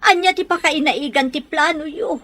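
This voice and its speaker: A woman's voice: high-pitched, moderate pace, bright, forceful tone. A woman playing a protective, defiant poor mother in an audio drama. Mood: protesting, questioning. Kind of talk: Ilocano audio drama acting.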